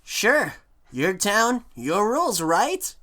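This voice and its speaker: A woman voicing a cocky, quick-witted young male hedgehog hero in a comic dub, casual and wry. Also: medium pitch, very animated, exaggerated voice. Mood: considering. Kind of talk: comic dub character acting. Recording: loud music behind the voice.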